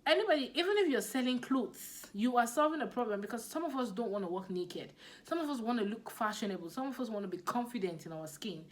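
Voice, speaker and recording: A woman's voice: on the high side, expressive, quick pace. A woman with a Nigerian accent giving a motivational business talk to camera, emphatic and repeating her key points. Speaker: business coach.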